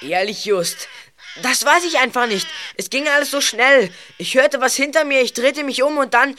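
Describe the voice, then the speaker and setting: A woman playing a frightened but quick-witted boy detective in a children's audio drama. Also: sits high, sharp tone. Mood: shaken.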